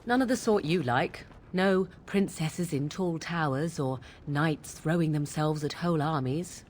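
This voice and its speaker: A woman's voice: low voice, unhurried, darkish tone, slight background noise. A woman playing a haughty, sardonic witch of the wilds with archaic, mocking diction in a fantasy video game. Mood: mocking, darkly teasing. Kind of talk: dramatic video game acting.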